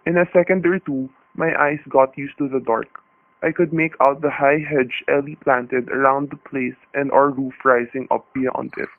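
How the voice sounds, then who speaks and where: An actor playing a steady, shrewd homicide detective in a radio thriller, with a wry, hard-boiled, folksy way of talking. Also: male voice, mid-range voice, normal speed, close to an everyday voice. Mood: tense, weary. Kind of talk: vintage radio drama acting and noir narration. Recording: tinny old radio recording.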